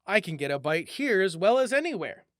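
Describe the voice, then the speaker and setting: A man's voice: sing-song, fairly quick, no room sound, studio quality. A man voicing a thin, shabby old man in a storybook, shrewd but kindly, crotchety and wry. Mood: dry, modest. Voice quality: shrill, whistling through front teeth. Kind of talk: storybook reading.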